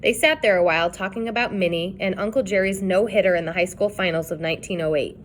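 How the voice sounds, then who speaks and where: A woman reading a children's chapter book aloud in an expressive storytelling style. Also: medium pitch, fast talker, slight background noise. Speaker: reader.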